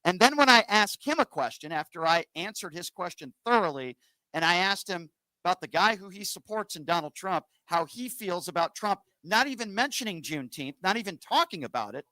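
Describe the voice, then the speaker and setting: A man's voice: high voice, lively intonation, fairly quick, pushed and bright, no room sound, low-quality recording. A man hosting a political call-in radio show, combative and sarcastic, cutting across callers.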